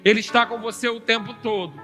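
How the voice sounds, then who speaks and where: Bright high-voiced male preacher. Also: steady tone, fast talker, in a large hall, soft background music. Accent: Brazilian Portuguese. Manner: teaching, calling for "amém" from the congregation. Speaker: pastor teaching a seminar. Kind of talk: sermon.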